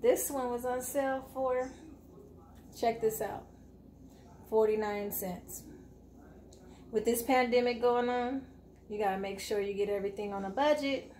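A woman's voice: on the high side, fairly even intonation, measured pace, long pauses, darkish tone. A woman in her forties, chatting upbeat to her viewers in a casual grocery haul vlog, filmed at arm's length in her home kitchen. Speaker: vlogger. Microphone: camera at arm's length. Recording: echoey kitchen, faint room noise.

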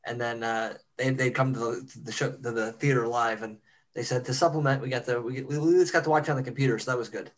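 A man's voice: medium pitch, steady tone, fast. A man talking casually as a cast member in a group video call. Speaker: cast member of an amateur radio play. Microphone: computer on a video call.

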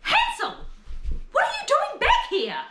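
A woman's voice: extremely high pitch, wildly swooping pitch, measured pace, bright, forceful tone, exaggerated voice. A woman playing a scheming, exasperated stepmother in a children's fairy tale, theatrical and bossy. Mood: dismayed, scheming. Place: small living room, on a sofa. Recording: roomy indoor echo.

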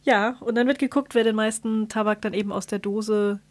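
A woman's voice: mid-range voice, steady tone, brisk. A woman co-hosting a podcast, explaining things in an informal, conversational way. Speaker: podcast co-host. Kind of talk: casual podcast chat, explaining.

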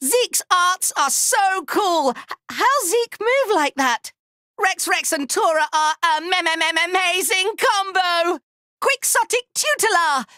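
A tiny, round furry inventor creature from a video game, with an extremely high, squeaky voice. It is proud and excitable, with bright, forceful delivery and childlike broken grammar. The recording is close and dry. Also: male voice, slow pace, no echo, exaggerated voice. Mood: excitable, chatty. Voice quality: squeaky, cartoonish. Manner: childlike broken grammar, third-person self-reference. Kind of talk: video game character voice lines.

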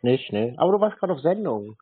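A man chatting casually on the phone as a prank caller, with a deadpan, naive-sounding manner. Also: fairly high pitch, fast, breathy edge, dry recording, soft background music. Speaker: host, scam-baiting prank caller. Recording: noisy narrow phone-like sound with clicks.

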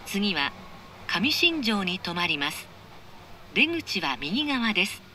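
A woman making formal, measured, carefully articulated scripted train announcements over an onboard speaker. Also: mid-range voice, very animated, bright, forceful tone, a little hiss or hum. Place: recorded inside a train carriage.

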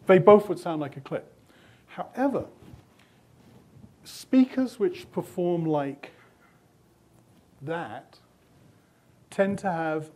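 A man's voice: a little high, darkish tone. Older man talking through audience questions. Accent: British. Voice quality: thoughtful, loose explanatory manner with restarts. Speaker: magazine editor giving a talk. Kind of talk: conference talk, answering audience questions. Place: hotel conference room. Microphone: podium mic, speaking away from it.

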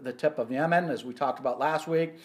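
Middle-aged male ministry host; earnest, halting delivery with many fillers; prophecy teaching, close phone mic indoors. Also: medium pitch. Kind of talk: weekly Bible prophecy teaching. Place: indoor office or home room.

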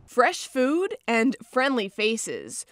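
Bubbly young woman reporter, lively pitch. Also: no room sound. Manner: bubbly, upbeat, quick reactions. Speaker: field reporter. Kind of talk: TV feature reporting. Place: outdoor farmers market.

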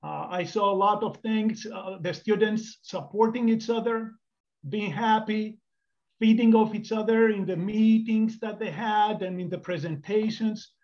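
A man's voice: high voice, measured pace, a little mellow, highs cut off. A middle-aged man from Greece, a parent speaking on a school panel, candid and reflective with many fillers. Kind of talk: panel discussion.

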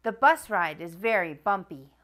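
A woman in her thirties hosting a children's storytime, reading aloud in an expressive, sing-song storytelling manner. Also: mid-range voice, normal speed. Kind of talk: children's picture book read-aloud. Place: small furnished room at a wooden table, indoors.

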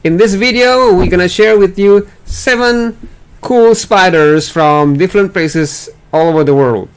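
A man's voice: sits high, a little slow, clear, resonant tone, low-quality recording. A middle-aged man in a casual read-aloud chat with children, encouraging them, repeating things and prompting with questions. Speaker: host and parent reading with children. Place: small bedroom, indoors. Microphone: desktop condenser microphone.

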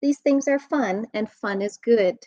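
An American woman, around her thirties or forties, reading a rhyming children's book aloud in an expressive, sing-song way. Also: a little high, measured pace, no room sound. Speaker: children's storytime reader. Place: room at home. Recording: dull, muffled webcam audio.

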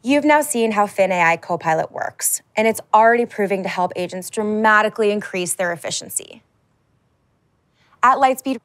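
A woman in her thirties giving a scripted product demo as a marketing presenter, with a polished, upbeat, clearly enunciated delivery. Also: mid-range voice. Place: large dark indoor studio stage.

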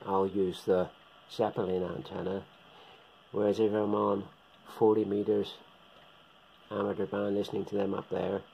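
A man giving a casual, hands-on equipment demonstration as a hobbyist presenter, talking unhurriedly in short, halting bursts. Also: lowish voice, creaky, a little mellow, lo-fi sound, a little hiss or hum. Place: indoors, room with radio gear on a shelf.

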